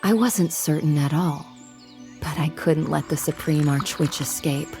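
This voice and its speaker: A woman's voice: sits low, measured pace, vocal fry, darkish tone, soft background music. An actress playing a determined, earnest witch rebel in a video game cutscene. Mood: calm, candid.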